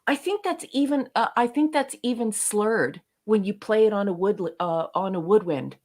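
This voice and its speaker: A woman's voice: mid-range voice, normal speed, a little mellow. Playful, teasing woman co-host. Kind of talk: casual livestream chat.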